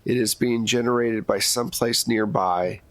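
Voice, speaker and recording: A man narrating as game master, describing scenes in a relaxed, unhurried way and answering players' questions. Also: medium pitch, no room sound. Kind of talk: narrating a tabletop horror game.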